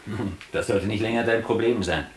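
A man's voice: lowish voice, moderate pace, reverberant, slight background noise, close to an everyday voice. A man acting a wry, bookish East German drinker in a radio play, storytelling with mock-learned irony. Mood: dreamy wry. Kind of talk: radio play narration and dialogue.

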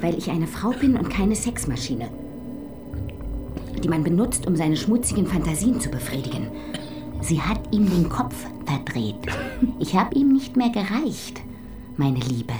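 An actress in a radio crime play voicing a lonely, jilted nurse who poisons her rivals, speaking in soft, drawn-out, menacing whispers. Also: fairly low pitch, sing-song. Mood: bitter. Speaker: murderer. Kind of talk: radio crime drama acting. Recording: radio play with background music.

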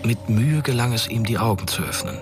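A man's voice: medium pitch, brisk, loud music behind the voice. A man narrating a scripted radio drama as the storyteller.

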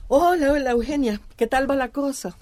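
A woman's voice: high-pitched, moderate pace, close to an everyday voice. An actress playing a plain-spoken, fed-up woman in a radio soap, speaking emphatically. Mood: cheery. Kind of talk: radio soap opera acting.

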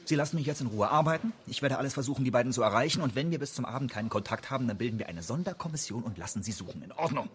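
A man's voice: medium pitch, expressive, rapid-fire, hardly any pauses, a little hiss or hum, natural voice. An actor playing a harried male police official in a radio drama, stiff, formal and exasperated while placating. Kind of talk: German radio drama acting.

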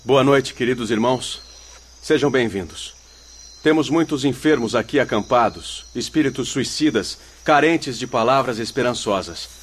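An actor playing a kindly, wise expedition leader in a radio drama, speaking in a formal, instructive way. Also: male voice, medium pitch, moderate pace, natural voice. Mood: welcoming. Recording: faint noise with night crickets.

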